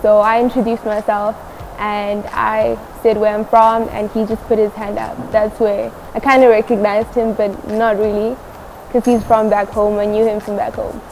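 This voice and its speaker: An eighteen-year-old woman, a university student, giving short, low-key answers in an interview. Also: flat delivery, moderate pace, a little mellow, slight background noise, poor audio. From South Africa (Eastern Cape). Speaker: first-year social science student, interviewee. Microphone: headset.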